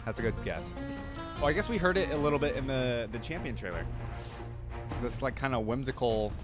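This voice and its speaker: Mężczyzna komentujący na luzie, głośna muzyka w tle. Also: male voice, medium pitch, expressive, a little fast. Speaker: reaction video host. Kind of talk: casual reaction commentary over game videos. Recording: music playing behind the voice. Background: game video soundtrack and theme music.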